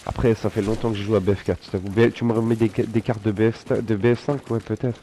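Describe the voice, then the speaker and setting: A man's voice: lowish voice, flat delivery, fast talker, dark tone, slight background noise. A young man with a cold, playing a shooter and chatting in a rambling, slangy, laid-back way. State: stuffy with a cold. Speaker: guest player. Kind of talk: casual gaming chat. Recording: game sounds clearly behind.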